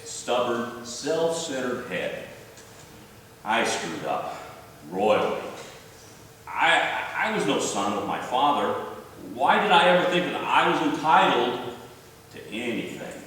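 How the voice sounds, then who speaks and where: A man playing a remorseful, self-blaming prodigal son in a church readers theater monologue, halting and emphatic as he confesses. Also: mid-range voice, a bit bright. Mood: regretful. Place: large church room, live before congregation. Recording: echoey church hall from far off, faint noise.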